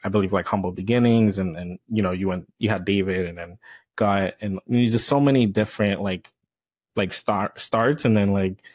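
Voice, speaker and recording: A man's voice: lowish voice, moderate pace, darkish tone, no echo. A young man hosting a casual podcast interview, asking loose, hesitant questions padded with fillers. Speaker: podcast host. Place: small indoor room, on a couch. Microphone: handheld microphone.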